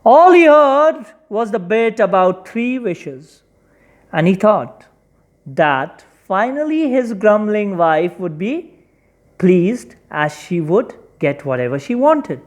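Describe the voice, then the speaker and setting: Slow, highly animated folktale storyteller. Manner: deliberate, dramatic emphasis with repeated words. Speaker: storyteller, narrator. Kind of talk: expressive children's folktale narration.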